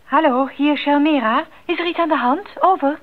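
A woman's voice: sits high, moderate pace. An actress playing a caring, capable woman who runs a mission post radio in a radio drama. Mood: businesslike concern. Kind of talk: 1980s Dutch radio drama acting. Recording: narrow tinny radio sound.

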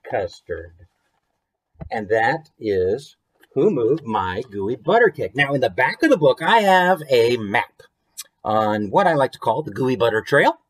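An American man in his thirties reading a children's book expressively, with chatty asides and questions to the listeners. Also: mid-range voice. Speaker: children's author reading own book to viewers. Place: small room at home, indoors.

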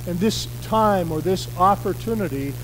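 A middle-aged American man preaching a sermon from a church pulpit, in an earnest, measured way with emphatic stresses. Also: high-pitched, noisy background. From United States. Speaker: pastor. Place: church sanctuary, indoors. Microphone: podium mic on a stand.

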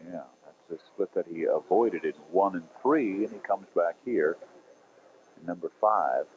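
A man giving animated play-by-play on a televised bowling match, with bursts of excitement. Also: low voice, measured pace. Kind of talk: TV sports commentary. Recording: dull lo-fi 1980s TV broadcast with faint hiss.

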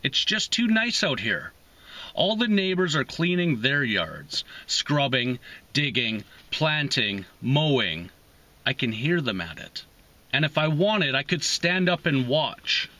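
Forceful, deliberate man reading poetry. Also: mid-range voice, big pitch swings. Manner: deliberate, pausing between short phrases. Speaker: host of a poetry reading series. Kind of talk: slow, emphatic poetry reading. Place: inside a parked car.

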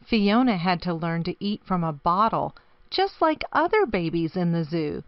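A woman reading a children's picture book aloud expressively, with deliberate, dramatic phrasing. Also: lowish voice, big pitch swings, dry recording, lo-fi sound. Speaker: storybook reader.

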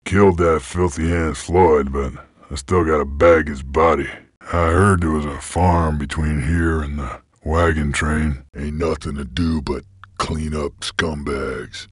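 A man voicing a gruff, weary, menacing creature bounty hunter from a video game, with a husky, gravelly Western American drawl and terse, deadpan delivery. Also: extremely low pitch, a little slow, no echo, clean studio sound, full character voice. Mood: gruff, businesslike. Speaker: game protagonist. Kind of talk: video game character lines.

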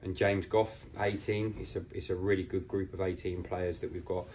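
A man in his forties, a football manager, giving a measured post-match interview with pauses as he thinks. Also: low voice, level tone, a little mellow, slight background noise. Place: small bare indoor room.

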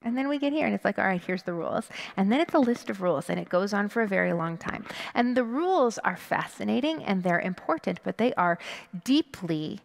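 A woman rabbi in her thirties delivers a sermon with a creaky edge to her mid-pitched voice. She is conversational and expressive, leaning on pauses and rhetorical questions. Her headset mic carries the long reverb of a large sanctuary. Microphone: headset microphone. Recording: long reverberant hall echo.

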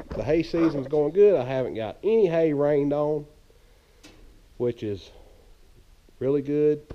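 A man's voice: fairly low pitch, warm tone, clear, resonant tone. A man, chatting casually and rambling with many fillers, filmed on a handheld camera in a hay barn. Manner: rambling, easygoing, filler-heavy. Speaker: farmer vlogger. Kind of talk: casual farm vlog. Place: open hay barn, indoors. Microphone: handheld action camera at arm's length.

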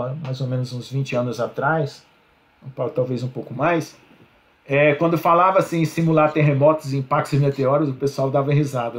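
A middle-aged Brazilian man with a Brazilian Portuguese accent gives an online slide lecture. He explains step by step, often adds "né?" and corrects himself mid-word. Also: mid-range voice. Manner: explanatory, frequent "né?" tags, self-corrections. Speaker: university lecturer. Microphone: video call.

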